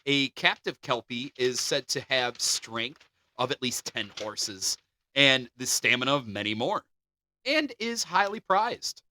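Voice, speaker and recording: A man's voice: mid-range voice, a little slow. A man co-hosting a podcast, reading folklore aloud and joking between the passages. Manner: animated, jokey. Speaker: podcast co-host.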